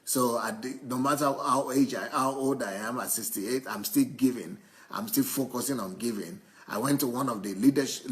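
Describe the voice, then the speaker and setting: A man interpreting a church testimony live into English, haltingly, often repeating and rephrasing his phrases. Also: medium pitch.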